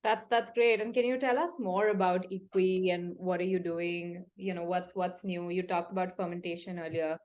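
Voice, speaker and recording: A woman's voice: fairly low pitch, fairly even intonation, moderate pace, hardly any pauses, a little mellow. A woman hosting an interview who opens with effusive praise and a lot of hesitant fillers. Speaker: interview host. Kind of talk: warm friendly interview opening.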